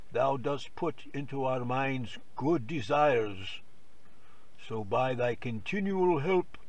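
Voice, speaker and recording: A man reading scripture and prayers in a measured, reverent and formal liturgical style. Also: mid-range voice, distant mic.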